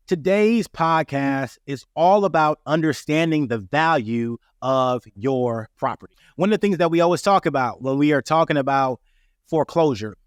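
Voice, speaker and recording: A man hosts a podcast, his voice raspy as he gets over a cold. He explains things earnestly and keeps checking in with "right?" His voice sits a little high and sounds dry and close to the mic. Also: dry recording. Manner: earnest, repeats "right?" and "that being said". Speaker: podcast host. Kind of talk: casual instructional podcast talk.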